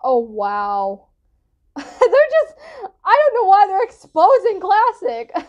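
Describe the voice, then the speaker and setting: A woman's voice: extremely high pitch, very animated, slow pace, darkish tone, dull audio. A young woman giving casual, giggly, rambling reaction commentary as a video host, recorded indoors on a device at arm's length. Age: young adult. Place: indoor room at home.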